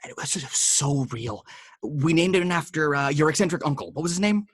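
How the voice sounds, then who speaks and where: A man acting a glib, wry talker in an amateur table read, with a husky voice. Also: on the high side, animated, normal speed. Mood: chatty, eager. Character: glib, talkative men: sly trickster, wisecracking hero.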